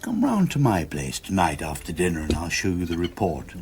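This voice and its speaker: Radio drama, secretive Englishman, low voice, lively intonation, clipped, confidential. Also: fairly quick, a little hiss or hum. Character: secretive man issuing a discreet evening invitation. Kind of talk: British radio drama dialogue.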